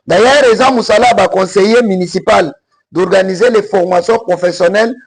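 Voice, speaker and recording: A Congolese man with a Congolese French accent delivers emphatic political commentary, mixing French and Lingala. His voice sits high for a man, with a gravelly, husky edge. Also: brisk. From Congo (DRC).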